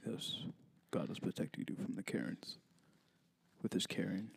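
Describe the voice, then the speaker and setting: A man's voice: low-pitched, unhurried, gravelly, slightly rough, no room sound. A young man co-hosting a podcast, chatting playfully with friendly slang. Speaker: podcast co-host. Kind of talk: casual chat.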